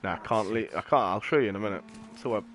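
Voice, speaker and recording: A man's voice: lowish voice, racing, fairly bright tone. A man giving casual gameplay commentary in a rambling, matter-of-fact, slangy way. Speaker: game streamer. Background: game music and sound effects.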